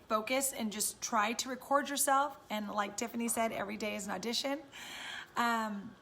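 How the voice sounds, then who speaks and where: A woman with a raspy voice, chatting casually and encouragingly to viewers on a live stream from home. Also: a little high, fairly bright tone. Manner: chatty and encouraging, many fillers. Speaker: live-stream host and business coach.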